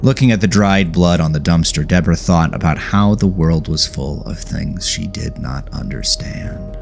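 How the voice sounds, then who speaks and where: A man narrates a dark horror tale in an extremely deep voice. Gravelly fry runs through his deliberate, measured reading. Faint music plays behind him. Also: animated. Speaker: audiobook narrator. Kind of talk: scripted horror story narration.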